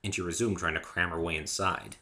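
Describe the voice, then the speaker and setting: A man's voice: low-pitched, steady tone, fairly quick, pushed and bright. A man reading a first-person story aloud as a dramatic narrator.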